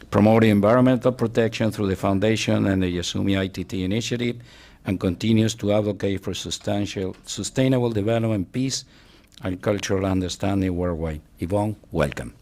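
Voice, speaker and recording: A man's voice: low voice, darkish tone. A man moderating a forum, reading out a formal scripted introduction of a guest speaker.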